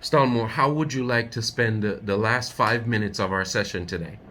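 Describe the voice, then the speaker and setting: A man's voice: lowish voice, moderate pace, loud music behind the voice. A man coaching a trainee in sales, speaking emphatically and drilling his points home. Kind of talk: coaching role-play.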